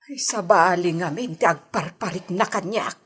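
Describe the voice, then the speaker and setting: A woman's voice: fairly low pitch, normal speed, husky. An actress playing a suspicious, fretful mother in an Ilocano radio drama. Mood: worried. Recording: noisy old radio recording.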